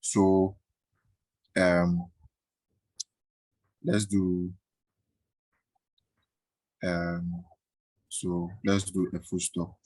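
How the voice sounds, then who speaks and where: A man teaching a coding tutorial in a halting way, with many fillers and frequent "right?" checks. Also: deep voice, completely flat delivery, darkish tone, no echo, rough recording. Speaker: coding instructor. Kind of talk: screen-recorded coding tutorial.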